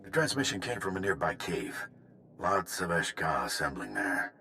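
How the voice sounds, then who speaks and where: A man playing a gruff, weary Republic field officer giving laconic mission briefings in a video game, with a gravelly voice. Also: sits low, big pitch swings, moderate pace, pushed and bright, slight background noise, full character voice. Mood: grave, wry.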